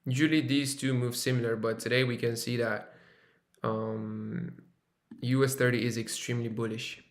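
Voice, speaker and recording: A man's voice: mid-range voice, little pitch movement, moderate pace, reverberant. A man from Romania hosting a live trading stream, chatting casually with viewers and thinking aloud with fillers and restarts. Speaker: live-stream trader host.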